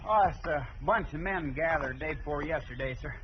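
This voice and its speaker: An American actor playing a deferential young cowhand with a rural western accent, folksy and eager to reassure, in a radio western. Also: male voice, fairly high pitch, normal speed, close to an everyday voice. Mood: reassuring, deferential. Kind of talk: 1950s radio western acting. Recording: muffled, noisy old radio recording.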